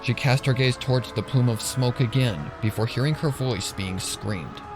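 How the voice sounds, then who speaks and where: A man's voice: mid-range voice, normal speed. A man reading a scripted story as a dramatic narrator. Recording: narration over music and sirens.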